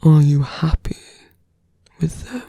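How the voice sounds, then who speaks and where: Someone acting out a slow, breathy, gravelly seductive villain. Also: medium pitch, warm tone, dry recording, clean studio sound, heavily acted. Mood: pressing, intimate. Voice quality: breathy, often dropping to a whisper. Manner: slow, deliberate, taunting. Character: seductive villain, taunting a wounded hero, persuasive and menacing. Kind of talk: intimate ASMR roleplay acting.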